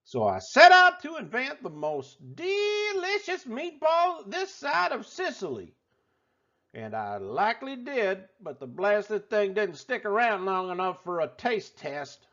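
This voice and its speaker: A man voicing a folksy, excitable restaurant owner telling a tall tale in a theatrical drawl for a children's storybook. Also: high-pitched, measured pace, muffled recording, exaggerated voice. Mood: folksy, excited. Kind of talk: animated children's storybook read-aloud.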